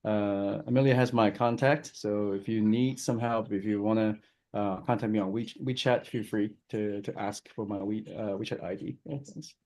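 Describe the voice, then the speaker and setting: A man's voice: lowish voice, steady tone, normal speed, a little mellow. A Chinese man speaking as a guest on a video call, friendly and hesitant, often restarting his sentences. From China. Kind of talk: casual remarks on a video call.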